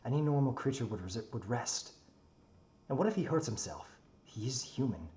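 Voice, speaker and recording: A man's voice: mid-range voice, animated, moderate pace, warm tone, slight background noise, natural voice. A man voicing a proud, grumpy non-human creature in a dramatic story reading, haughty and brooding. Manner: brooding, haughty, muttering monologue.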